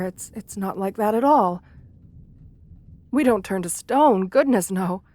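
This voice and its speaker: A woman's voice: medium pitch, dry recording, natural voice. A woman playing a shy, sweet, bookish gargoyle in a romantic ASMR roleplay, speaking hesitantly with polite, old-fashioned formality. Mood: shy, bashful.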